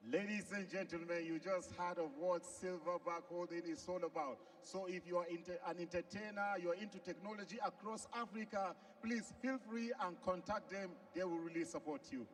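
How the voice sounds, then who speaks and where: High, polite male event interviewer. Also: steady tone, a little fast, few pauses. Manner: polite and eager, many "sir" and "please". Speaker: ringside interviewer and host. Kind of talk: live event hosting. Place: arena. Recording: distant mic at a live event.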